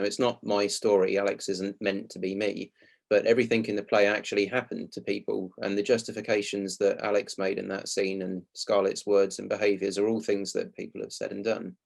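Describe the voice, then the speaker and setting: A man's voice: fairly low pitch, little pitch movement, brisk, creaky. A man giving a prepared talk as the presenter of a project. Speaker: speaker presenting a radio play project.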